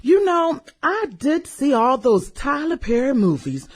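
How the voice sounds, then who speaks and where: A woman acting a brash, fun-loving businesswoman in a satirical radio play. Her pitch is fairly high and swings widely, while her pace is slow and drawn out. She is sassy, slangy and flirtatious. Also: big pitch swings. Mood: gleeful, gossipy.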